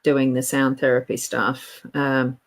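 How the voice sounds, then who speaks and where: A woman co-hosting a casual spiritual chat, speaking in a reflective, gentle way with frequent agreeing murmurs. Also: extremely low pitch, little pitch movement, slow.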